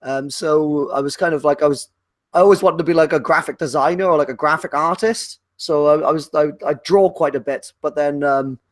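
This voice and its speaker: A man's voice: fairly high pitch, fairly quick, no room sound, studio quality. A young adult man from South Wales with a Welsh accent, chatting as a podcast guest and rambling with lots of fillers and restarts. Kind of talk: casual chat.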